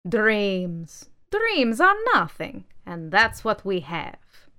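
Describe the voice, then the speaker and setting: A woman's voice: medium pitch, sing-song, extremely slow, sharp tone, smooth, heavily acted. A woman voicing a pompous male political manifesto, a torn book rousing a crowd of junk. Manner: orating, declaiming.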